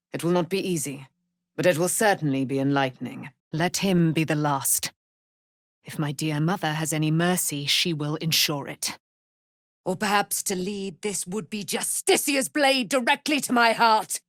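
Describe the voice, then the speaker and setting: An actress playing a proud, righteous immortal warrior, daughter of a moon goddess, with grandiose, formal delivery in a fantasy video game. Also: female voice, fairly low pitch, moderate pace, dry recording, clean studio sound, close to an everyday voice. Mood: gracious, solemn, then grieving, bitter.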